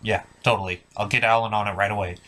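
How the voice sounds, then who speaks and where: A man's voice: lowish voice, steady tone, fast, sharp tone. A man hosting a scripted comedic cartoon review, casual and theatrical in his delivery.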